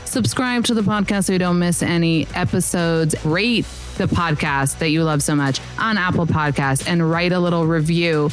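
A woman's voice: on the low side, moderate pace, soft background music. A woman host reading a bubbly podcast sign-off. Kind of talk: scripted outro.

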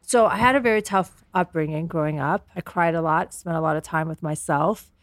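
A woman, calm and earnest, telling personal stories as a podcast guest. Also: low-pitched, fairly quick, no echo. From United States, born in Afghanistan. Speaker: podcast guest, company founder. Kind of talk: personal storytelling in an interview. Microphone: close podcast microphone.

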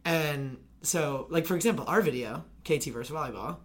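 A young woman with a deep, raspy voice, a college lacrosse player and video creator, rambling fast in casual podcast chat with constant fillers. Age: young adult.

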